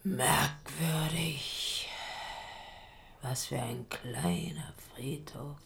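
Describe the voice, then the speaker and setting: A woman's voice: extremely low pitch, creaky, darkish tone, a little hiss or hum, full character voice. A bitter old queen mother. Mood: musing. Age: older. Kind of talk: 1970s radio drama acting.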